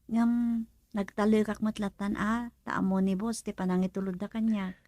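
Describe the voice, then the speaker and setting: An actress playing a young woman who works at a club, asking her father lively questions. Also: mid-range voice, normal speed, dry recording, close to an everyday voice. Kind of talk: radio drama acting.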